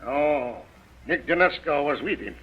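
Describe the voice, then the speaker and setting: An actor playing a nervous, evasive bar owner in an old-time radio crime drama, hesitant and ingratiating toward the police. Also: male voice, fairly high pitch, expressive, unhurried, husky. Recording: muffled vintage radio recording.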